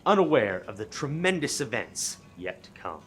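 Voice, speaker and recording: A man's voice: medium pitch, sing-song, normal speed, faint music behind. A man reading dramatic scripted narration for a comic radio play.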